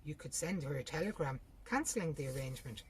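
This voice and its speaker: A woman voicing an eager, overbearing man in a story, insistent and excitable. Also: deep voice, quick pace, husky, heavily acted. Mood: pressing. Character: eager, overbearing brother pushing his plans.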